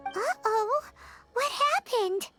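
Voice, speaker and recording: A woman voicing a tiny, excitable, childlike fairy companion in an anime game, over background music. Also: very high voice, takes it slowly, exaggerated voice. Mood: startled. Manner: speaks of itself in third person, exclamatory.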